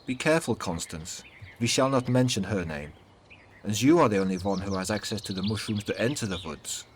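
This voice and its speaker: A male reader takes on an ageless young woman warrior with a German accent. She talks in a measured, formal, guarded way in a fantasy audio story, with noticeable noise behind her. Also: on the low side, lively intonation, close to an everyday voice. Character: young-looking German huntress, two hundred years old, guarded, neutral.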